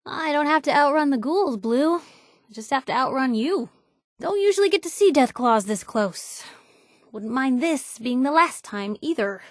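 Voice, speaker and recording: A woman's voice: fairly high pitch, no echo, clean studio sound, close to an everyday voice. An actress playing a plucky young woman reporter, a video game companion with a wry, conversational, sardonic way of talking. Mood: wary, joking.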